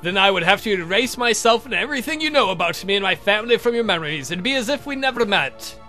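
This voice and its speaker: A man's voice: high voice, animated, fast talker, pushed and bright, faint music behind. A man voicing a courtly, lovestruck vampire count from a visual novel game, speaking formally and earnestly. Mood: earnest reassuring. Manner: formal, verbose, confessing.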